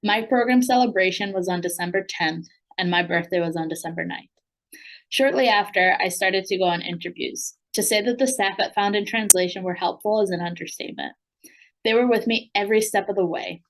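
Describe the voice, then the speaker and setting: An American woman in the middle of her range reads a prepared speech at a graduation event. Her delivery is earnest and steady, as a program alumna speaking to new graduates. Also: medium pitch, poor audio. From United States. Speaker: program alumna and language coach.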